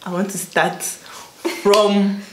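A young woman hosting a casual group chat on her channel, playful and quick to laugh. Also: medium pitch, roomy sound, noisy background. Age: young adult. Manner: animated, laughs often, playful emphasis. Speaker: host. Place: small room indoors.

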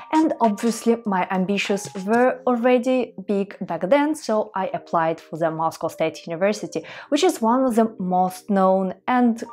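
A woman's voice: medium pitch, darkish tone. Young woman with a Russian accent. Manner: earnest, chatty, with small restarts in careful English. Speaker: vlogger. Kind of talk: casual talk to camera. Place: small room at a desk at home.

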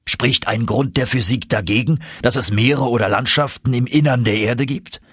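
A man voicing a confident, eager older professor for an audiobook, husky and emphatic, as if lecturing. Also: mid-range voice, normal speed, a bit bright, no room sound, clean studio sound.

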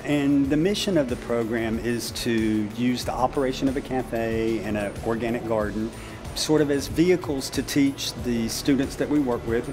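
A man in his sixties, a teacher, speaking in a sit-down interview recorded indoors. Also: mid-range voice. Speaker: special education teacher and cafe program leader. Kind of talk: sit-down interview for a promotional video. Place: indoor room of a cafe. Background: music.

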